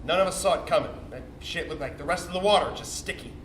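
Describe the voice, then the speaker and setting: A man acting a cocky, bitter little sperm cell with a high, bright voice. He talks like a swearing young bro, slangy and dramatic, confiding a stage monologue. The sound is roomy and distant, as from a theatre stage. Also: fast talker, natural voice. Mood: grave, tense. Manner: foul-mouthed, slangy, defensive, dramatic. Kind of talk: theatrical comic monologue, confessional storytelling to audience. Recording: roomy, distant stage recording with faint noise.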